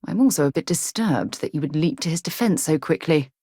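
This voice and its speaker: A principled, earnest woman explorer from a space video game. Her voice sits a little low and has a husky grain. She phrases things in an articulate, measured and formal way, recorded close and dry. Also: lively intonation, dark tone, no echo, full character voice. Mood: firm, reproving. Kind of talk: video game companion voice lines.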